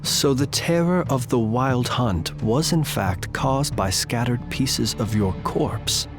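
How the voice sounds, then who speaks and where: An actor playing a composed, polite adventurer in a video game, questioning in a measured, dryly wry way. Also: male voice, medium pitch, animated, music in the background. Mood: wry, deducing. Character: composed, courteous, probing male adventurer facing a villain. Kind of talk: video game character acting.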